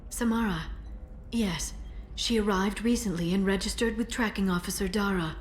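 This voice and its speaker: A woman voicing a composed alien information broker in a video game cutscene, speaking softly and in a measured way. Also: medium pitch, slight background noise, natural voice. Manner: soft-spoken, measured, quiet intensity.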